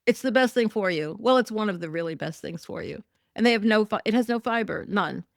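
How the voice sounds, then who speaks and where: A woman's voice: medium pitch, animated, brisk, no room sound, studio quality. A woman hosting a solo podcast, talking through news in a chatty, wry way with many ums and self-corrections, her voice a bit worn. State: losing her voice. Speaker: podcast host. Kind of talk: casual solo commentary podcast.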